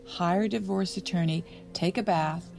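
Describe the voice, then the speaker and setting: A woman's voice: fairly low pitch, moderate pace, gravelly, over music. A woman playing a judgmental, bossy friend in an audio drama, with a scolding, insistent manner. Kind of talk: naturalistic audio drama acting.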